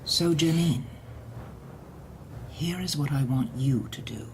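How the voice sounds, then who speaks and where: A woman's voice: sits low, takes it slowly, darkish tone, slight background noise. A woman playing a stern, controlling older woman who runs a reeducation center, coldly sweet and menacing. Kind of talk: audio drama acting.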